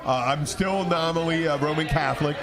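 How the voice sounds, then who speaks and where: A man hosting a casual podcast chat, talking in a halting, bantering way and often repeating himself. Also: on the high side, creaky. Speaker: podcast host. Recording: crowded, noisy public room chatter.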